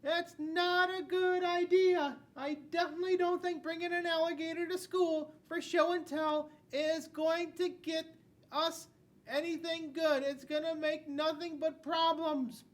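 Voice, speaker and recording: A man's voice: extremely high pitch, little pitch movement, unhurried, voice pushed up. A man voicing a stern, alarmed woman schoolteacher from a picture book, scolding emphatically. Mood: stern, exasperated. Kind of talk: storybook read-aloud. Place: school classroom. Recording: distant mic, some room noise.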